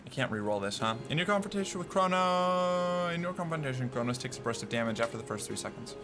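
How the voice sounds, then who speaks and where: A man streaming a video game, reacting out loud, cursing, and talking to himself as he plays. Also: on the high side, animated, fast. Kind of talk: gameplay commentary. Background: game music.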